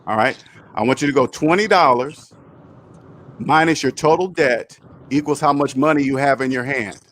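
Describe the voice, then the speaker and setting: A man's voice: mid-range voice, normal speed, fairly bright tone, a little hiss or hum. A man in his fifties hosting a call-in money talk, emphatic and repeating his points. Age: 50s. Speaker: host.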